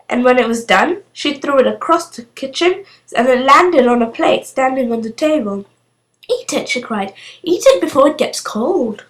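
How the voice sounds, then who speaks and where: A woman's voice: fairly high pitch, poor audio. A woman reading a children's storybook aloud as scripted narration. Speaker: storybook reader.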